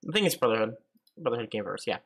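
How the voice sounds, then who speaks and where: A sick, slightly hoarse man rambling through casual commentary on a gaming livestream, full of fillers and sudden outbursts. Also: medium pitch, animated, rapid-fire.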